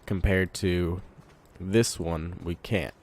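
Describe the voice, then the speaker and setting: Deep-voiced congested man playing a game. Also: slow, slight background noise. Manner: relaxed, thinking aloud while playing. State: congested from a cold. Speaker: gamer. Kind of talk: casual let's play commentary.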